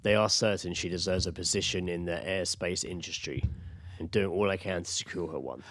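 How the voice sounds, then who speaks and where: A man's voice: sits low, fairly quick, fairly bright tone, no echo, studio quality. A British man co-hosting a podcast, chatting casually and recounting news with sweary, sarcastic jokes. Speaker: podcast co-host. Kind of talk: casual comedic chat, recounting news stories.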